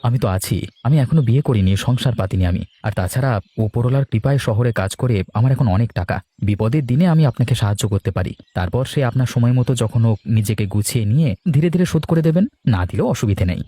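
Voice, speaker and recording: A man voicing a generous, reassuring young bachelor in a cartoon, measured. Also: medium pitch, lively intonation, warm tone, slightly rough, dry recording. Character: generous, steadying young bachelor back from the city. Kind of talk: cartoon dubbing.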